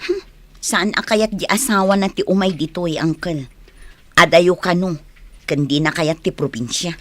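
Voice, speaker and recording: A Filipino actress playing a chatty, gossipy niece in a radio drama. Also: female voice, on the low side, moderate pace, close to an everyday voice.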